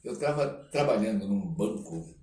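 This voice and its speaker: Brazilian guy telling stories and doing the dialogue, kinda far from the mic, faint noise behind. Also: on the low side. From Brazilian Amazon. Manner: animated, acts out dialogue, halting with "né" tags. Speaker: guest artist, actor telling life story. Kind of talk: casual anecdotal storytelling in a podcast interview. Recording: roomy echo, distant mic, faint noise.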